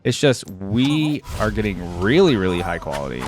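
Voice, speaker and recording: A man giving casual reaction commentary on video game skins, rambling and interjecting as he thinks aloud. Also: fairly low pitch.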